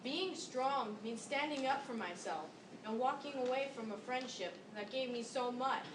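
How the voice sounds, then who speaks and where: Female student performer, early teens, reciting from a distance over clear noise. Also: high-pitched, moderate pace. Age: young teenager. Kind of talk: readers theater recitation. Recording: echoey room, distant mic, background noise.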